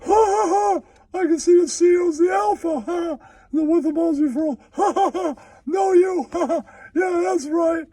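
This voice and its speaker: A very high, squeaky puppet voice, gleeful and taunting. It keeps breaking its lines with cackles, in a crude comedy puppet show. The sound is dry and close. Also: male voice, unhurried, warm tone, no echo. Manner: punctuates speech with repeated cackling.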